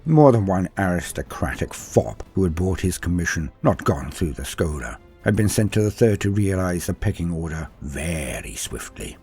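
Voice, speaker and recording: Male narrator for dark war fiction: low, gravelly-husky voice, solemn theatrical delivery. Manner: grave, theatrical storytelling with falling phrase endings. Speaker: narrator and storyteller.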